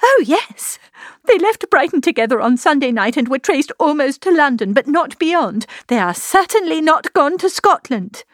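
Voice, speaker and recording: A woman voicing an agitated, breathless young gentlewoman in anguish, in a storybook reading. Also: on the high side, moderate pace, no echo, clean studio sound, voice pushed up, lightly acted. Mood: agitated, despairing. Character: distraught young gentlewoman, anguished and self-reproaching.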